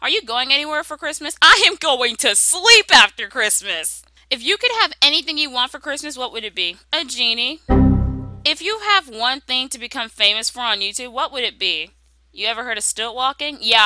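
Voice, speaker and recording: A young woman with a very bright, forceful voice that sits fairly high and moves up and down in a lively way. She talks casually and playfully, reading out questions and answering with sassy humor, recorded close and dry. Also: fairly quick, no echo. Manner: playful, sassy, conversational storytelling. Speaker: YouTube animation creator answering viewer questions.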